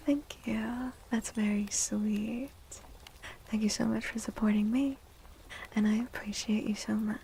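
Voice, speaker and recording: A woman livestreamer speaking in a soft, breathy, often whispery ASMR style, gentle and soothing, close to the microphone. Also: fairly high pitch, slight background noise, rough recording. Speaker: livestreamer reading tarot for viewers.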